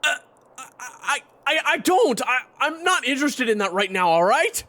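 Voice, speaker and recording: Man playing a gravelly, brooding monster hunter. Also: lowish voice, very animated, normal speed, dry recording, full character voice. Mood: flustered. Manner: stern, gruff, irritable. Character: brooding, vengeful monster hunter, easily exasperated. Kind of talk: audio drama acting.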